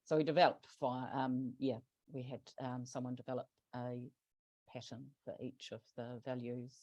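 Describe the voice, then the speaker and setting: A man walks through a project over a video call, pausing often and restarting his sentences. His voice stays level with little rise and fall. The sound is dry and a bit dull, like lo-fi call audio. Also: mid-range voice, level tone, darkish tone, dry recording. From New Zealand. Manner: halting, many fillers and restarts. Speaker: project presenter. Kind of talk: online webinar presentation.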